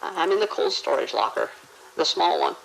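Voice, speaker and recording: A man playing a terrified, trapped hospital worker in an audio drama, stammering and halting with fear. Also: a little high, animated, vocal fry, fairly bright tone, heavily acted, poor audio.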